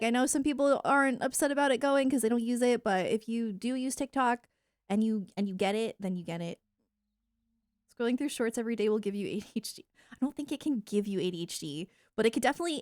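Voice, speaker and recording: A woman's voice: a little high, no room sound. A woman with an American accent chats casually to livestream viewers in a rambling, informal, opinionated way, close on a streaming mic. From United States. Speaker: live streamer and content creator. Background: music.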